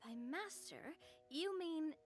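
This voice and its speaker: Young chatty game girl, adult but high voice, very expressive, music behind. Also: slow. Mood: curious, friendly. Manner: expressive, conversational, prompting. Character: bright, chatty, curious young woman in a fantasy game. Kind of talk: video game voice acting.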